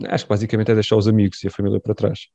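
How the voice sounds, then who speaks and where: A middle-aged man with a European Portuguese accent, answering interview questions in a measured, hesitant way with many pauses. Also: fairly low pitch, completely flat delivery, creaky, dark tone. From born in France, raised in Portugal. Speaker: guest. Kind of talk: conversational interview. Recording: dull dry lo-fi sound.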